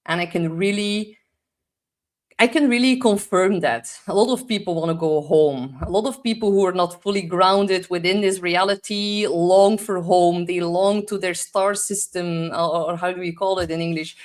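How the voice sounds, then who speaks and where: A woman's voice: on the low side, normal speed. A young woman with a Dutch accent gives a rambling, casual astrology forecast, full of "like" fillers, sometimes searching for English words. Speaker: astrologer host.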